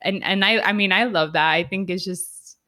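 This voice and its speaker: A woman's voice: mid-range voice, moderate pace, sharp tone. A woman hosting an interview, talking in a chatty, laughing way with many fillers. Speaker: podcast host. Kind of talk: casual interview conversation.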